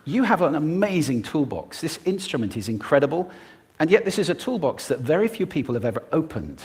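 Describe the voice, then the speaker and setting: Brief: a male stage speaker with a lively, swooping delivery who demonstrates his techniques. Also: on the high side, a little fast, slightly rough. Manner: expressive, demonstrating vocal techniques. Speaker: public speaker. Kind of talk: prepared talk on stage.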